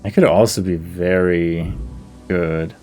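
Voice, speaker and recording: A man's voice: low voice, fairly even intonation, slow pace, mellow, faint music behind. A man doing casual gameplay commentary, thinking aloud in a hesitant, musing way that trails off mid-thought. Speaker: video game player and commentator.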